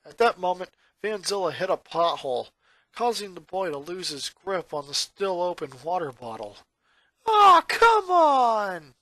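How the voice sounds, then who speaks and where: A narrator reading a scripted story aloud in an expressive, dramatic storytelling manner. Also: medium pitch, moderate pace, no room sound.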